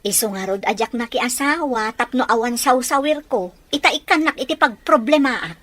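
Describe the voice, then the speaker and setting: An actress playing a blunt, reproachful older sister in a radio drama. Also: female voice, fairly high pitch, lively intonation, normal speed, a bit bright, dry recording.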